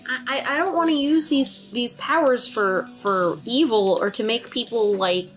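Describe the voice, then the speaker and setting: A woman voicing a timid, earnest junior high schoolgirl in a tabletop game, hesitant and politely stammering. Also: moderate pace, faint music behind, lightly acted.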